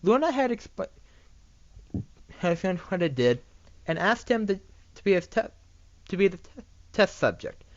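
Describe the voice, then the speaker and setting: An amateur narrator reading a fan fiction story aloud in a halting way, often stumbling over and repeating words. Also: medium pitch, sing-song, no echo, lo-fi sound.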